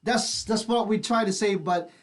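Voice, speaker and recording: Dude cohosting online radio, pretty high voice, hyped and slangy, casual chat. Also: male voice, normal speed. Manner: enthusiastic, slangy.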